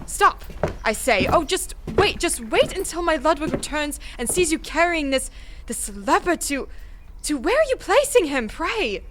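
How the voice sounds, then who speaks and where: A woman's voice: high voice, big pitch swings, a little slow, a bit bright, a little hiss or hum, full character voice. A woman playing an older, haughty queen mother in an audio drama, theatrical and emphatic. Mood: outraged, scolding. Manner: imperious, theatrical, emphatic repetitions. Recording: music under the voice.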